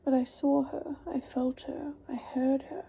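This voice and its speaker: A woman's voice: sits high, little pitch movement, unhurried, gravelly, mellow, slight background noise, rough recording. A woman whispering a terrified young woman. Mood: frightened pleading. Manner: whispered, pleading. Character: frightened young woman haunted by a lost friend.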